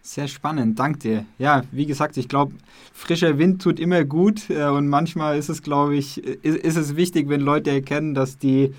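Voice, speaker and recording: A young man hosts a podcast interview. His voice is slightly higher than most men's. He asks friendly questions that wander, with plenty of fillers and restarts along the way. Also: steady tone, normal speed. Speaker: podcast host.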